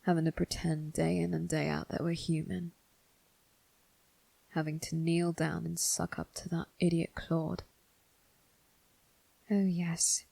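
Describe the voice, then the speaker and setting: Woman as a breathy, low-voiced sly demon servant. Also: flat delivery, measured pace, long pauses, warm tone, no echo, heavily acted. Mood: resentful. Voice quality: breathy, whispery. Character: demon triplets disguised as servants, sly, predatory, sadistic. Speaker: voice actor. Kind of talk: ASMR roleplay, scripted.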